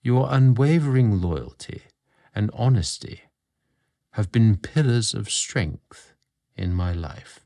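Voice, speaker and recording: A man voicing a dignified older lord, stern yet kind and deliberate, in an audio story. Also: on the low side, lively intonation, creaky, exaggerated voice. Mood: solemn, accepting. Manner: measured, softly stern, deliberate. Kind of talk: audio storybook dramatic reading.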